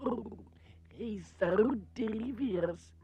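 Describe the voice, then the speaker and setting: An actor playing a dim, timid, vain villain's sidekick, with a husky voice and a childlike, gormless manner. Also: male voice, high voice, very slow, mellow. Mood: dim, anxious.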